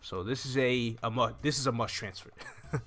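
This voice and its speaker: A young adult man hosting a casual, animated gameplay walkthrough, speaking close to a desktop microphone in a small room. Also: mid-range voice, moderate pace. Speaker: gaming video host. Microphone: desktop condenser microphone close up. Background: game music.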